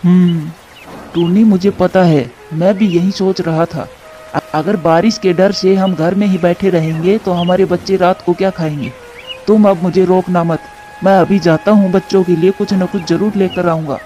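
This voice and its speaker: A man's voice: high voice, steady tone, normal speed, warm tone, close to an everyday voice. A man voicing a brave, protective father pigeon in a moral cartoon, earnest and melodramatic. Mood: reassuring. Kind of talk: Hindi moral cartoon dubbing. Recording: dull lo-fi sound with music.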